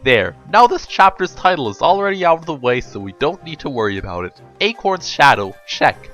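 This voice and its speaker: A man's voice: fairly high pitch, sing-song, fairly quick, fairly bright tone, lo-fi sound, faint music behind. A man voicing a breezy, scheming male pony in a storybook reading, with an offhand, casual manner.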